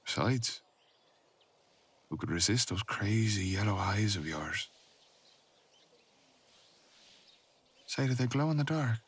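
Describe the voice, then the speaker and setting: A man's voice: lowish voice, lively intonation, moderate pace, a bit bright, slightly rough. A man playing a haughty, teasing, flirtatious cousin with an arch, insinuating drawl, in a fantasy audio roleplay.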